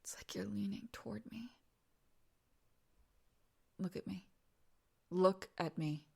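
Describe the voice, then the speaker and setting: Woman acting a creaky, teasing, domineering young woman. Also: fairly low pitch, takes it slowly, heavily acted. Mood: hushed, intimate. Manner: breathy, often dropping to whispers, with sighs. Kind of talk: intimate audio roleplay, second-person dramatic monologue.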